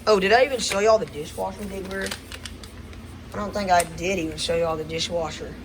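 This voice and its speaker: A man's voice: high voice, fairly quick. A man rambles through a casual vlog walkthrough in a condo kitchen, full of fillers and restarts. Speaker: vlogger. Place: indoor condo kitchen by a running washer. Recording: noisy with running water and appliance sounds.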